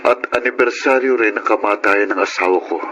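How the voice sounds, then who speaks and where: A man's voice: on the low side, brisk, pushed and bright, dull audio. A man playing a bitter, grieving widower and hard father, stubborn and curt, in a Tagalog radio drama. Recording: faintly noisy radio drama audio.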